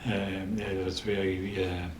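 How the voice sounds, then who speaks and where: A very low-pitched, older man with a Dutch accent recounts his memories slowly, stopping and restarting often. His voice stays flat and even. The room around him is echoey, with faint ambience behind. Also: slight background noise. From the Netherlands. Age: 80s. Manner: halting, with many restarts and pauses. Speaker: interview guest. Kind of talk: storytelling in a casual interview.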